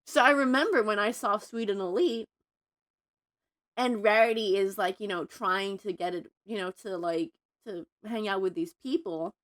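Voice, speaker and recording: Podcast guest, woman from Mexico, young adult, bright clear voice, animated, chatty. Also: mid-range voice, moderate pace. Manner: animated, thinks aloud with many fillers. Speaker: fan fiction writer, podcast guest. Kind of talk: casual podcast chat.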